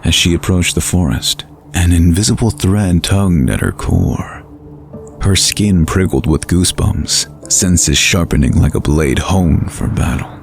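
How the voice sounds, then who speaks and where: A man narrating a scripted fantasy bedtime story in a measured, atmospheric, dramatic way. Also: sits low, expressive, creaky, faint music behind.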